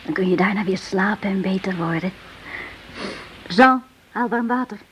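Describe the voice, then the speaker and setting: A woman's voice: mid-range voice, moderate pace, a bit bright, a little hiss or hum, close to an everyday voice. An actress playing an anguished, tender sister caring for her wounded brother in a Dutch radio drama. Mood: tender, sorrowful. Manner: emotional, reproachful, pleading.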